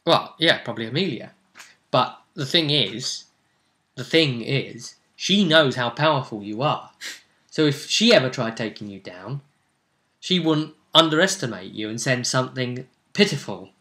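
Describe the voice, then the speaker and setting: Bright-voiced man, casual game master. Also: mid-range voice, expressive, unhurried. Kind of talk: casual recap of a tabletop game story.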